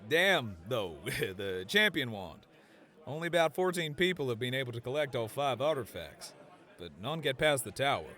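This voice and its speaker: An actor voicing a cocky, easygoing fantasy gunslinger with a Western American accent, drawling and teasing in slang. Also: male voice, mid-range voice, sing-song, moderate pace, sharp tone. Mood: cocky, challenging.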